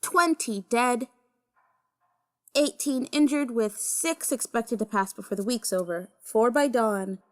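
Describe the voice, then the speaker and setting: A woman plays a dutiful secretary in an audio drama, reciting reports formally. Her voice is high and she goes slowly, item by precise item. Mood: businesslike. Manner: formal, measured.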